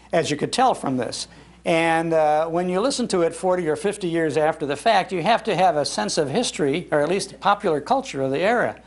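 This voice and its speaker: A man's voice: on the high side, gravelly. An older man giving an informal, conversational talk to an audience, explaining as he goes. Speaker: lecturer on old radio, host. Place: public library talk.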